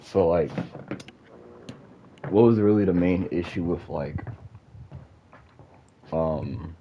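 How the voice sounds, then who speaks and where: A man's voice: low voice, slow, muffled recording, slight background noise. A man with a nasal, congested voice ranting casually about a game, profane and rambling with lots of fillers. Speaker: gamer giving opinions. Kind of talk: casual rant.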